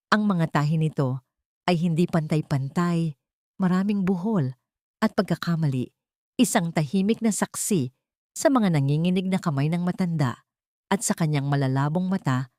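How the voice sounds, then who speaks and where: A woman's voice: on the low side, a little mellow, dry recording, clean studio sound. A woman with a Filipino accent reading a scripted dramatic audio story as its narrator.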